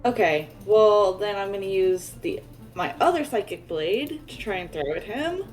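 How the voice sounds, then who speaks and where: A woman at a tabletop roleplaying game, chatting casually as she works through her turn. Her voice sits at an average pitch, with soft music behind it. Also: animated, moderate pace. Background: background music.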